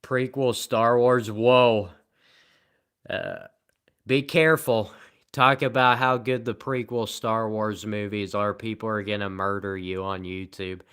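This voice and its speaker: A tired man live streaming, rambling in a sarcastic, self-mocking way as he answers viewer comments at low energy. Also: steady tone, a little slow, fairly bright tone, smooth.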